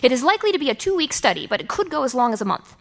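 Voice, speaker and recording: A woman's voice: high-pitched, big pitch swings, very fast, pitched above the performer's own voice, full character voice. A woman voicing a flustered, wordy male office interviewer in an audiobook, with a fussy, formal and politely hesitant manner. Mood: harried, explanatory. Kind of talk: audiobook character dialogue.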